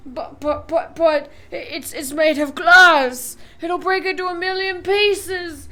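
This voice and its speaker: A woman voicing a frantic, excitable old grandfather who stammers and panics. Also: sits high, measured pace. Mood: stammering worry.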